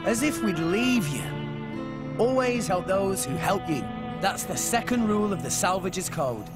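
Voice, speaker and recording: High-voiced plucky boy hero. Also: lively intonation, measured pace, loud music behind the voice. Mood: defiant, earnest. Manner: earnest, youthful, spirited. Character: earnest, plucky, loyal teenage boy hero. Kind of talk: video game cutscene acting.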